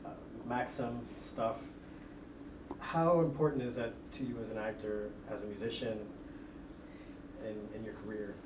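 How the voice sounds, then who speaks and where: A man asking informal interview questions, halting and stumbling as he words them. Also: on the low side, steady tone, noisy background. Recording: echoey room, distant mic, loud ambience.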